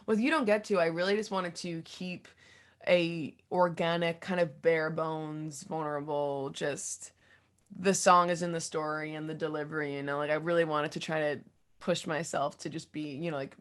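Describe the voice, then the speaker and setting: A young woman with a low, deep voice, a singer-songwriter talking casually and candidly in an interview, thinking aloud in fragments. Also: a bit bright. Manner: candid and informal, thinking aloud in fragments, often quoting own thoughts.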